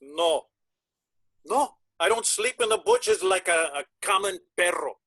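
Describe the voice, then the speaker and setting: A man's voice: high-pitched, wildly swooping pitch, measured pace, vocal fry, sharp tone, no room sound, studio quality, exaggerated voice. A man playing a flamboyant, teasing, fussy band guitarist in a screenplay table read. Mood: indignant. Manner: theatrical, playful, mock-haughty.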